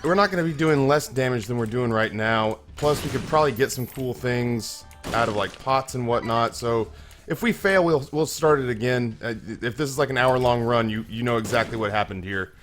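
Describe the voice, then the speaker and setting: A young man with a slightly hoarse, middle-pitched voice talks over his gameplay in a loose, rambling way. He stumbles and restarts his sentences as he tells a self-mocking story. Faint music plays quietly behind him. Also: moderate pace. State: a little hoarse. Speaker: gaming channel host. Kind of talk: casual let's-play commentary.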